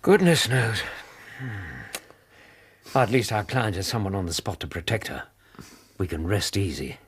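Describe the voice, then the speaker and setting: A loyal Victorian sidekick, husky, earnest, reassuring, pitch moving a lot. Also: male voice, big pitch swings, unhurried, exaggerated voice. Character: loyal Victorian male doctor companion, steady and earnest. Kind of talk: radio drama acting.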